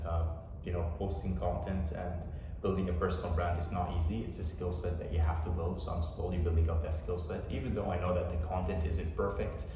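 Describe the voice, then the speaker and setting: A young man, a personal trainer, giving a relaxed, rambling vlog update with plenty of fillers. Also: deep voice, brisk, over music. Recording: echoey room from far off.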